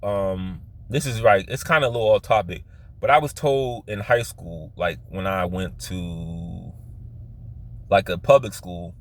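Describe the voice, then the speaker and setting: A man with a Philadelphia accent telling personal stories casually, halting and often circling back to repeat himself. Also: lowish voice. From Philadelphia, United States. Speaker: vlogger telling his life story. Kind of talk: casual rambling personal storytelling.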